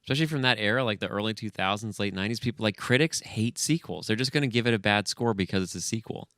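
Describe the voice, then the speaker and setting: Low-pitched man in casual podcast chat. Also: fast, a bit bright, smooth, no room sound, studio quality. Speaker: podcast co-host. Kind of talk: casual film review chat.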